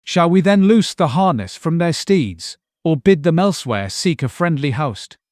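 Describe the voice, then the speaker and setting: A man's voice: fairly high pitch, big pitch swings, moderate pace, darkish tone, no echo, studio quality. An actor playing a trusty, deferential palace servant addressing his king with formal, declamatory phrasing in an epic audio drama. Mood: deferential, urgent.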